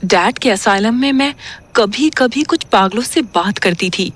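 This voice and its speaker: A woman's voice: a little high, animated, unhurried. A woman playing an earnest young woman caught in a haunting, in a horror audio drama. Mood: uneasy, urgent.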